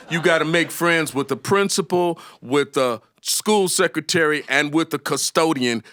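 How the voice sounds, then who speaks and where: A man's voice: a little high, noisy background. An older American man storytelling live to an audience in a conversational, humorous way. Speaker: storyteller and musician.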